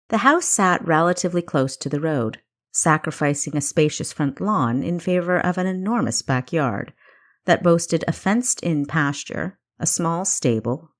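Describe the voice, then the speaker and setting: A woman reading scripted story narration as the narrator of an audiobook. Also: lowish voice. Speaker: author narrating own audiobook.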